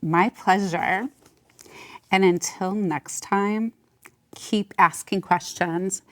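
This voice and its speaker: A woman's voice: medium pitch, steady tone, extremely slow. Enthusiastic woman hosting an interview. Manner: enthusiastic, gushing, warm praise. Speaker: interview host. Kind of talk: casual interview.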